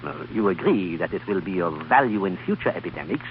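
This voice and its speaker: Old male mentor scientist, lowish, solemn and deliberate, radio drama on a muffled, slightly noisy broadcast. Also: lively intonation. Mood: probing. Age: older.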